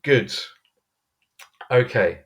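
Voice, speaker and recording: A man hosting an interview, asking questions in a playful, drawn-out way with hesitant restarts. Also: mid-range voice, animated, highs cut off. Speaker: interview host.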